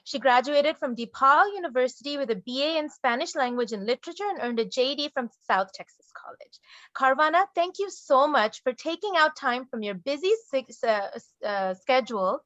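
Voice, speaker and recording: A woman's voice: sits high, animated, normal speed, fairly bright tone, dry recording, highs cut off. A woman moderating an online panel, introducing guest speakers in a formal but friendly way over a video call. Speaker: moderator and case management director.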